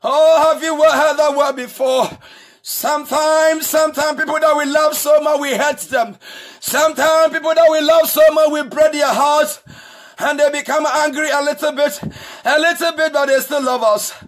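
A man preaching a sermon as pastor, shouting with a repetitive, sing-song cadence. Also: very high voice, fairly even intonation, normal speed. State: married. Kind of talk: impassioned preaching.

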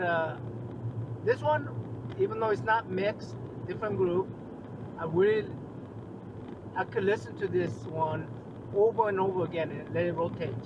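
A middle-aged man in his fifties chatting to the camera while driving, rambling and enthusiastic, with lots of restarts and fillers. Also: high voice. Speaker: music vlogger. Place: inside a car on a highway. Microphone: dashboard camera mic. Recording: dull lo-fi car audio with loud road noise.

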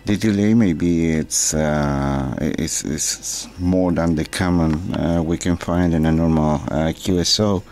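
A man's voice: very deep voice, fairly even intonation, slow, hardly any pauses, darkish tone. A man from Chile with a Chilean Spanish accent, a radio operator talking effusively through a ham radio contact in sometimes hesitant phrases. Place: indoors at a desk, small room.

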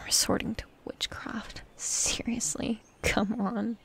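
A woman playing a jealous, needy elf wife in an intimate ASMR roleplay, speaking softly and haltingly with a sulky edge. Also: fairly high pitch, little pitch movement, exaggerated voice. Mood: jealous, annoyed. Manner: soft, breathy, halting, sulky delivery. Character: jealous, possessive, needy tsundere elf wife, female warrior.

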